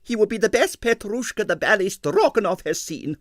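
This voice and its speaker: A man voicing a shrill, melodramatic prima ballerina in a storybook, speaking broken English with French exclamations. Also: expressive, brisk, no echo, clean studio sound. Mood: imperious, grand. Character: temperamental older Russian ballerina, shrill and imperious.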